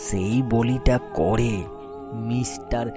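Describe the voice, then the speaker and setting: A man voicing a sinister old man, secretly a villain, telling a cartoon horror story in a drawn-out, ominous manner. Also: medium pitch, fairly even intonation, lightly acted. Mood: ominous, slow. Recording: music bed behind the voice.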